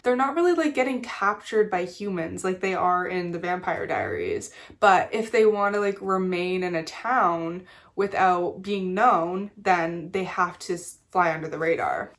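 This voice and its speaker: A woman chatting casually about a book in a home reading vlog, a little nasal from being sick, filling her talk with "like". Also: mid-range voice. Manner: chatty, fillers like "like", casual swearing. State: nasal from a cold. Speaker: book vlogger. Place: small reading corner at home.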